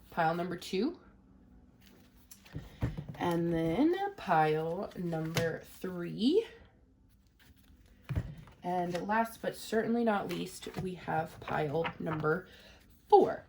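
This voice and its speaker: A woman with a somewhat low voice, audibly congested and stuffy from a cold. She gives a relaxed tarot reading straight to her viewers, rambling along and padding her sentences with fillers as she works through each card. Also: extremely slow, pauses often, background noise. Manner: chatty, rambling, many "you know" and "um" fillers. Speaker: tarot reader.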